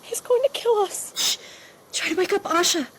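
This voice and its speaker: A woman's voice: extremely high pitch, normal speed, a bit bright, a little hiss or hum. A woman playing a frightened young captive in an audio drama, desperately and breathlessly pleading. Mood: frightened, urgent. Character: terrified young female captive pleading with her captor.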